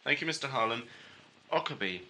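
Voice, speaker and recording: A man's voice: mid-range voice, lively intonation, fairly quick, very noisy, close to an everyday voice. A man playing a commanding spaceship captain, clipped and authoritative, in an amateur audio drama. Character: commanding male spaceship captain, brisk and steady. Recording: loud music under home-made audio drama.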